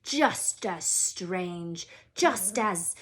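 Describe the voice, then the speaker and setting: A woman's voice: fairly low pitch, lively intonation, very slow, fairly bright tone, exaggerated voice. A woman voicing a spiteful, scornful aunt in a storybook, ranting bitterly and emphatically.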